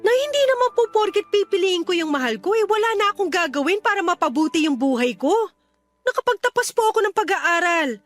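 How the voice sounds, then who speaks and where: A woman's voice: very high-pitched, very animated, brisk. A woman acting a respectful but frustrated grown daughter in a Filipino radio drama, politely pleading and reasoning.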